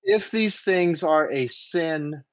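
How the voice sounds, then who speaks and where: A man in a casual debate, making his points in a deliberate, halting, questioning way over dull, lo-fi audio. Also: a little high, no echo.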